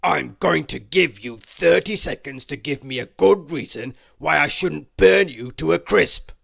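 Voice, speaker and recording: A storyteller voicing a huge, short-tempered male dragon who rumbles and bellows. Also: unhurried, vocal fry. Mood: threatening.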